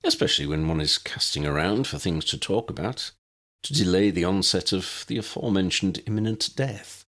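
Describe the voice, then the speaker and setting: A man's voice: deep voice, brisk, a bit bright. A man voicing an articulate, wry advisor in an audiobook, speaking in a wordy, formal manner. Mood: dryly witty.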